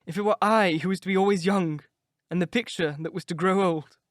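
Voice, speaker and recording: A man reading aloud, voicing a vain, beautiful young man who fears growing old, in an impassioned, high, cracking delivery. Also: fairly even intonation, fairly quick, dry recording, studio quality, natural voice. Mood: wistful. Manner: impassioned, rising to anguished cries. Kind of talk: reading of a classic novel aloud.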